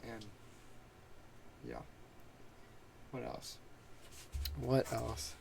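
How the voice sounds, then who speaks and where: A man's voice: medium pitch, very animated, extremely slow, creaky, a little mellow, a little hiss or hum. Laid-back young man, podcast co-host. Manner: laid-back, trailing restarts. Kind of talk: casual chat.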